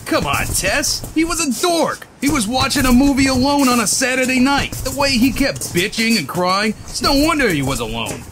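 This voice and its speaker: A man's voice: very high-pitched, very animated, moderate pace, hardly any pauses, music in the background. A man playing a snide, whiny young hipster in a comic dub, sneering, complaining and self-important.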